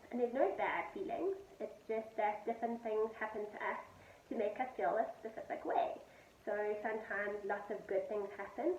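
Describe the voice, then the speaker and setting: A woman's voice: a little high, a little mellow. A woman teacher talking to young children in simple, patient explanations, on a roomy, distant recording. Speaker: teacher reading a story to children. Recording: roomy, distant, dull and noisy.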